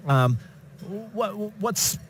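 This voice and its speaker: A man interviewing a speaker in a conference lounge, chatty and quick, with lots of short acknowledgements. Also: high-pitched, very animated, slight background noise.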